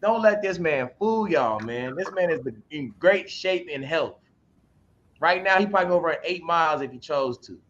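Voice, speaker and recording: A man in his twenties in casual chat, animated and teasing, on slightly muffled audio. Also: on the high side, normal speed, a little hiss or hum. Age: 20s.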